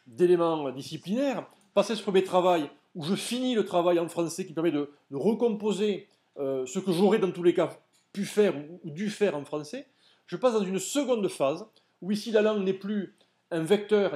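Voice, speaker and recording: A man lecturing at a seminar, his voice sitting rather high for a man. He explains things step by step and stops often to put questions to his listeners, in a lecture room. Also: a little mellow. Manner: didactic, addresses audience directly with questions.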